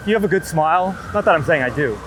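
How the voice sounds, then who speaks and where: A man talking casually and earnestly as an interviewed photographer, rambling in a halting way. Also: fairly high pitch, expressive, a bit bright, rough recording. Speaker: street and wedding photographer being interviewed. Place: outdoors on a city street. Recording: street noise, passing traffic.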